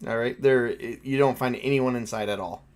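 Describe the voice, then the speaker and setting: A man's voice: medium pitch, fairly even intonation, fairly quick. A man acting as game master, narrating and making rulings in a casual, off-the-cuff, conversational way.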